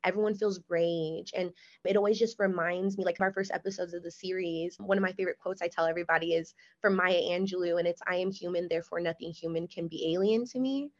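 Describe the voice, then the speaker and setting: A woman's voice: fairly low pitch, fairly even intonation, quick pace. Earnest young woman host, dull lo-fi sound. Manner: earnest, enthusiastic, uses "like" as filler. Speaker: podcast host. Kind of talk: casual interview hosting.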